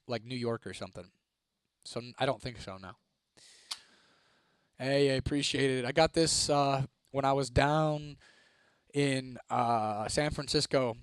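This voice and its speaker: A young man from Ohio, chatting casually on a live stream, laid-back and swearing freely, his voice a little hoarse. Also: medium pitch, no room sound. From Toledo, Ohio, United States. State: voice getting hoarse. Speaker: livestreamer. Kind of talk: casual live-stream chat reading comments.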